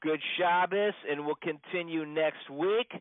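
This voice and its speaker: A man, a rabbi, giving an informal religious lesson in a halting, conversational way, repeating points for emphasis. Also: a little high, no echo. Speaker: rabbi teaching a class. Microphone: phone. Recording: muffled band-limited phone conference call.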